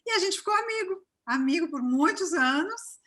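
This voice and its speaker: Uma mulher brasileira com sotaque de Cachoeira, na Bahia, conversando de forma calorosa e falante numa entrevista por chamada de vídeo. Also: female voice, extremely high pitch, animated, normal speed. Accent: Brazilian Portuguese, from Cachoeira (Bahia). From Brazil. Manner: warm, chatty, many fillers and restarts. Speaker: interview guest. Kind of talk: casual interview chat.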